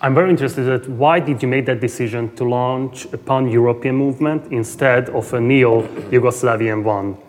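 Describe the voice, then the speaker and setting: A man's voice: medium pitch, steady tone, normal speed, few pauses, big-room sound. A man interviewing a guest, pushing with challenging follow-up questions.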